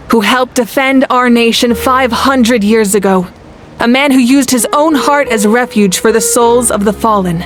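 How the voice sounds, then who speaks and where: A stately female leader, acted by a woman, composed and authoritative in a slightly high voice. The speech is formal and measured, a game cutscene with music underneath. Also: close to an everyday voice. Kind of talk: video game cutscene acting.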